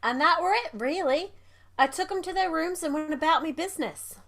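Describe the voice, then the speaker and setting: A woman's voice: very high voice, brisk, a bit bright. A woman playing a gossipy, deferential housemaid in a radio drama, chatty and hesitant. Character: deferential, gossipy working-class English housemaid.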